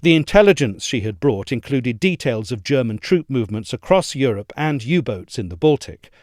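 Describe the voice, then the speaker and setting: A man giving a measured, articulate scripted narration of a history essay. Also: mid-range voice, very animated, a little mellow, dry recording, studio quality. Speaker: essay reader.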